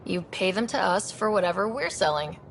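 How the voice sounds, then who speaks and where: An actress playing a young, wry human expedition leader in a video game, talking in a casual, natural way. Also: female voice, mid-range voice, expressive, fairly quick. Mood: guarded, dry. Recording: clear background noise.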